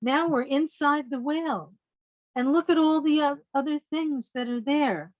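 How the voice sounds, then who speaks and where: Slow-paced woman reading to young children. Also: high-pitched, no echo. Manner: asks young listeners simple questions. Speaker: read-aloud storyteller. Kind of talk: children's picture-book read-aloud.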